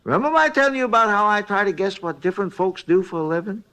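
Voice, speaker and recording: An actor playing a chatty, folksy hotel night clerk, rambling and hesitant, in a 1950s radio drama. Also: male voice, sits high, expressive, quick pace, sharp tone, full character voice. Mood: musing, helpful.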